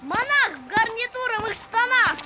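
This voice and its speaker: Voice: extremely high pitch, sing-song, moderate pace, over music. A performer playing a small, spiteful nine-year-old schoolboy in an audio drama, shrilly defiant and taunting.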